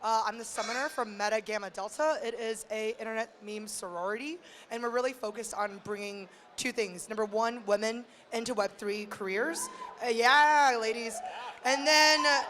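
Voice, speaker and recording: High-pitched, playful woman panelist. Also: moderate pace, a bit bright, slight background noise. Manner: playful, slangy. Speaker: panel speaker. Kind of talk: informal introduction and explanation to audience. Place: live stage event. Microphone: handheld stage microphone.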